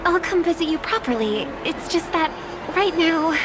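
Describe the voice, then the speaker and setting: A woman voicing a gentle, earnest, wistful young woman in a video game. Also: very high-pitched, animated, normal speed, background noise. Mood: gentle, nervous.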